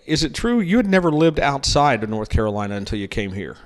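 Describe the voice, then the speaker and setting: A man's voice: medium pitch, very animated, quick pace. A man who grew up in Oklahoma, co-hosting a casual chat podcast in a chatty, rambling way. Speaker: podcast co-host, news manager.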